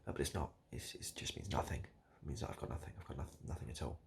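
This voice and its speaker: A British man doing improvised, absurd comedy straight to the listener in a hesitant, repetitive, deadpan ramble. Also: extremely low pitch, fast talker, gravelly, a little hiss or hum. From United Kingdom. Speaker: comedic video host. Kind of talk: improvised absurd comedy roleplay addressed to the viewer.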